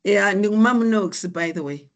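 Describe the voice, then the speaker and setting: A course facilitator, giving slow, encouraging feedback to participants over a video call. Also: medium pitch. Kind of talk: relaxed class feedback.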